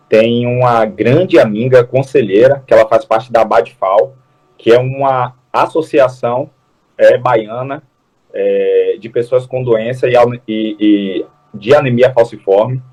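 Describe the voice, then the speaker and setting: Man, earnest, halting restarts, talk-show guest. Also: mid-range voice, darkish tone, poor audio. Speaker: guest, food security activist. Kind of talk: casual talk-show chat.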